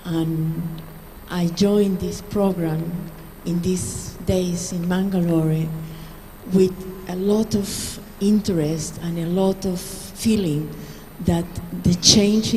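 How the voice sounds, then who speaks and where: A woman's voice: fairly low pitch, steady tone, slow, a little mellow, a little hiss or hum, rough recording. A woman from Israel giving a short earnest speech, inviting the audience to join in. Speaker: guest speaker. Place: large hall on stage.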